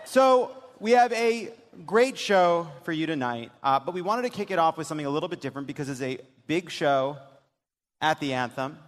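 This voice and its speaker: A man's voice: on the high side, expressive, normal speed. A man hosting a live comedy political show on stage, with a wry, emphatic delivery. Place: on stage in a large theater. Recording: big reverberant theater, some noise.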